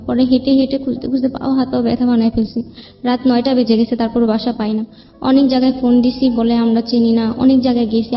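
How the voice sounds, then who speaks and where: Higher-voiced young Bangladeshi woman, very reverberant. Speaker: guest telling own life story. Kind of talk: personal spoken account. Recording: long reverb, dull muffled sound, music underneath. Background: background music.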